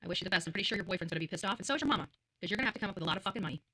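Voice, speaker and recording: A woman's voice: mid-range voice, expressive, very fast, very noisy. A woman livestream host ranting to her viewers in a combative, blunt, profane and emphatic way, repeating phrases for force.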